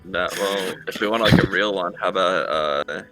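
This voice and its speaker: A man's voice: low-pitched, normal speed, fairly bright tone, poor audio. A man chatting casually as a player at a tabletop game. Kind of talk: casual chat. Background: background music.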